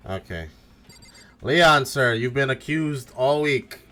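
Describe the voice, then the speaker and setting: A man's voice: mid-range voice, expressive, slow, pushed and bright. A man hosting a group voice-acting game stream, chatting casually with friends and reading out game dialogue.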